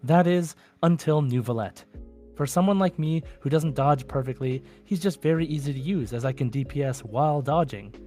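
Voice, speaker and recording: A man's voice: fairly high pitch, moderate pace, dark tone. Man co-hosting a podcast, music behind. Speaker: podcast co-host. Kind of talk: casual podcast chat, reading out listener messages.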